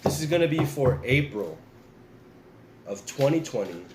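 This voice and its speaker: A man's voice: medium pitch, unhurried, roomy sound, noisy background. A man giving a casual tarot reading, talking straight to his viewers while getting over the flu. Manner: relaxed, repeats and restarts, addresses viewers directly. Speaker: tarot reader.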